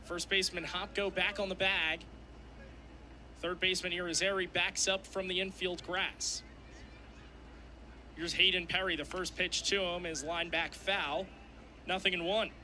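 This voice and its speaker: A man's voice: high-pitched, little pitch movement, unhurried, pauses often, bright, forceful tone, slight background noise. A young man doing radio play-by-play of a live game in clipped, descriptive phrases. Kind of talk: live sports commentary.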